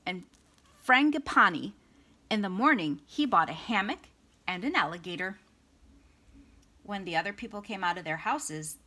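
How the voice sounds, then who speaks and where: A woman reading a children's picture book aloud as a storytime reader, with expressive, dramatic emphasis. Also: mid-range voice.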